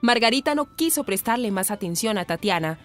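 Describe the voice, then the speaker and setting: Woman narrator, Colombian accent, medium pitch, scripted radio soap delivery, faint music. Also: animated, brisk.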